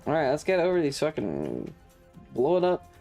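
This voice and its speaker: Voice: mid-range voice, huge pitch swings, normal speed, gravelly, warm tone. A game streamer chatting casually and swearing freely over game music. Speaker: livestream host. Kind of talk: casual gaming stream chat.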